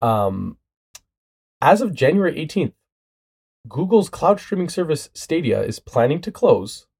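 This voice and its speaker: A man's voice: a little high, measured pace, vocal fry, breathy edge. A young man with a stuffy nose from a cold, hosting a casual podcast chat with friends and joking around. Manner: joking, rambling, animated. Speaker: podcast host.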